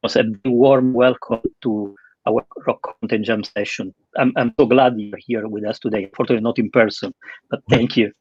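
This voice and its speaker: Male webinar host and interviewer, husky voice, muffled close-sounding recording. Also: on the low side, steady tone, moderate pace, no room sound. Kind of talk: hosting an online interview.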